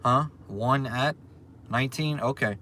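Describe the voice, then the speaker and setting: Louisiana-accented man in his forties, live-stream host, relaxed, mid-pitched, faint background noise. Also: unhurried. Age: 40s. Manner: easygoing, repeats himself, frequent ums. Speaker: livestream host. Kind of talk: casual livestream chat reading viewer comments. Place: restaurant. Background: faint restaurant ambience.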